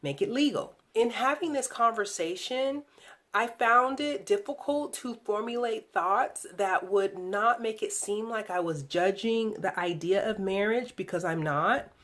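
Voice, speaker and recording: A woman hosting a relationship video blog, speaking in a reflective, deliberate monologue with emphatic phrasing. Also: mid-range voice, fairly bright tone.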